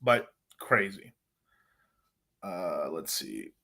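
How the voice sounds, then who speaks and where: A man's voice: low-pitched, very animated, extremely slow, gravelly, pushed and bright. A man hosting a casual crypto livestream, rambling in slangy talk and calling his viewers "bro.".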